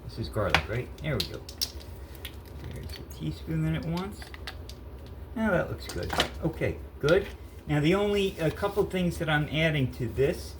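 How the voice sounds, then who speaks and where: A man's voice: on the high side, big pitch swings, normal speed, heavy background noise. A man in his fifties with a stuffy cold, rambling and correcting himself as he cooks in his home kitchen. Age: 50s. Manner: rambling, self-correcting, chatty. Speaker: home cook vlogger. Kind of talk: casual cooking vlog.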